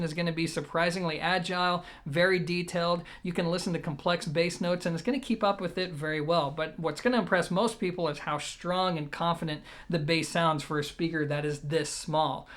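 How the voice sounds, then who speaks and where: A man's voice: on the high side, steady tone, fairly quick, few pauses, a bit bright. A man giving a conversational, methodical product review, explaining things to viewers point by point as an audio gear reviewer.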